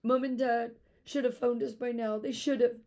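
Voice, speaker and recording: A woman reading a children's storybook, voicing a frightened, sobbing little girl who pleads in a shrill, panicked way. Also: steady tone, moderate pace, a little mellow, close to an everyday voice. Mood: panicked, tearful. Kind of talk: children's storybook read aloud.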